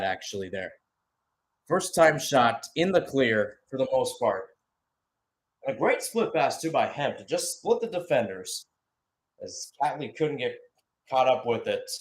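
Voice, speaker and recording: Guy calling a soccer match live, mid-range voice, chatty and analytical, unscripted play-by-play. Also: medium pitch. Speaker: sports play-by-play commentator. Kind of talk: unscripted live football commentary.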